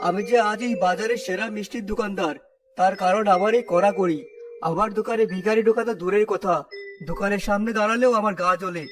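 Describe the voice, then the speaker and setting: A man's voice: high voice, steady tone, fast talker, close to an everyday voice. A man voicing a harsh, haughty sweet-shop owner in a cartoon story, with a contemptuous, domineering, scolding manner. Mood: haughty, grumbling. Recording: clear background music throughout.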